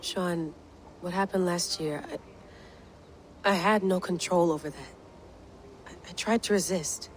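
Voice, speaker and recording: An actress playing a troubled, guarded field operative in a video game, earnest and uneasy, speaking hesitantly. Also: female voice, fairly low pitch, unhurried, long pauses, slight background noise, close to an everyday voice. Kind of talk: naturalistic video game acting. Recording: music playing behind the voice.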